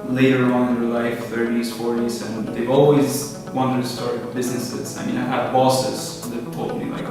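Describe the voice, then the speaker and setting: A young man from Peru, a startup founder, answering interview questions in a relaxed, thoughtful way with many fillers. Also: mid-range voice. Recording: echoey room, far from mic, music behind.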